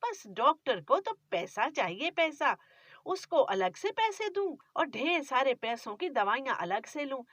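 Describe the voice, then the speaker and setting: A woman's voice: sits high, sing-song, measured pace, fairly bright tone, no room sound, studio quality, exaggerated voice. Woman doing a stingy scolding mother-in-law. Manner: scolding, penny-pinching complaints.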